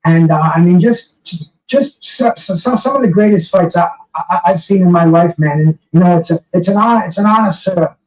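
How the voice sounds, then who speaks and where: A man's voice: high voice, fairly quick, darkish tone. An older man hosting a casual interview, stammering and repeating words, with an admiring way of talking. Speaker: host interviewer, boxing fan. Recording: muffled, roomy, distant from the mic.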